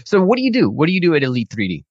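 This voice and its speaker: A man's voice: a little high, wildly swooping pitch, very fast, dry recording, muffled recording. A man hosting a podcast interview in an informal, chatty way with rambling asides. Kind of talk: conversational interview.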